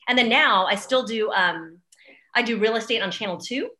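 Middle-aged female interview guest, bright voice, animated casual storyteller, echoey room recording. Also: a little high, moderate pace. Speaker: podcast guest, real estate agent and former news anchor. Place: room in a new house.

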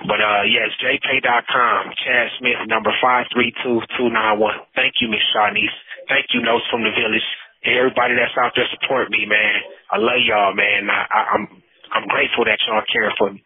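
A man's voice: medium pitch, expressive, moderate pace, creaky. A man speaking casually and earnestly in a plea over a phone call, often adding "man" and "you know.". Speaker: incarcerated storyteller giving testimony. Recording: muffled lo-fi prison phone call.

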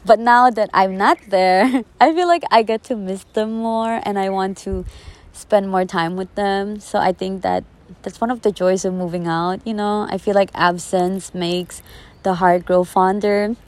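Woman, twenties, from the Philippines, podcast host, earnest conversational delivery, reflective personal monologue, medium pitch. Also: unhurried. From the Philippines, later the United States.

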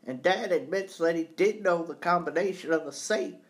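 A man's voice: on the high side, normal speed, close to an everyday voice. A man voicing a shaken, earnest teenage boy who is anxious for his father, in a storybook. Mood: earnest, troubled.

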